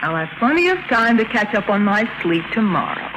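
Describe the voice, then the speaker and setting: Casting: woman as enigmatic countess for vintage radio thriller, medium register, soft but commanding, audible recording noise. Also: mid-range voice, big pitch swings, a little fast, lightly acted. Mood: calm, guarded. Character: mysterious, cool, steely femme fatale countess. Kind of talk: 1950s radio drama acting.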